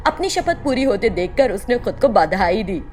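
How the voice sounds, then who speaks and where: A woman's voice: mid-range voice, sing-song, normal speed, a little mellow, faint music behind. A woman narrator reading a scripted children's tale in a storytelling style.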